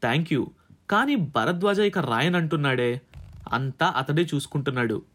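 A man's voice: a little high, lively intonation, measured pace, a bit bright. Man playing a shrewd, curt male negotiator. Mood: cool, firm. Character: shrewd, unbudging male client driving a hard bargain.

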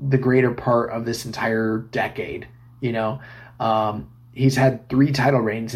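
A hoarse man hosting a solo wrestling podcast, recapping a match in a casual, enthusiastic way with many fillers. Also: medium pitch. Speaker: podcast host.

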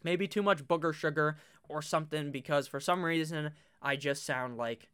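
A man's voice: fairly high pitch, moderate pace, clean tone. A young man talking casually to his webcam about a fantasy football draft, swearing freely, his voice a little nasal. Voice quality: slightly nasal. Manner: swears freely, chatty and animated. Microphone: webcam setup.

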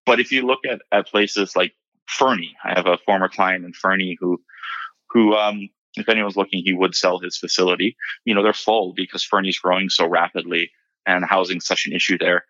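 A man who grew up in Hawaii, a podcast guest answering questions in a relaxed, measured way with dry humor. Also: sits low, no room sound. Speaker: podcast guest, self-storage appraiser. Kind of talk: casual interview answers. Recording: slightly dull lo-fi audio.

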